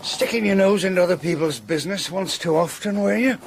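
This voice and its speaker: An actor playing a belligerent, sneering middle-aged quarry owner with an English accent, blunt and threatening, in a period radio drama. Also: male voice, sits high, fairly quick, gravelly, a little hiss or hum. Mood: mocking.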